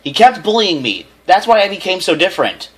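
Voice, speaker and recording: A man's voice: sits high, big pitch swings, a little fast, fairly bright tone, breathy edge, voice pushed up, heavily acted. A man voicing a bullied young male unicorn, defiant and taunting, in a storybook reading. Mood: upset, pleading.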